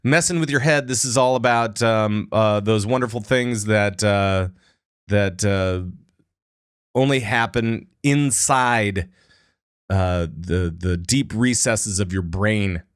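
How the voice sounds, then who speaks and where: A man's voice: lowish voice, takes it slowly, clean tone. A man hosting a casual gaming podcast, rambling with lots of filler words. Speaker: podcast host.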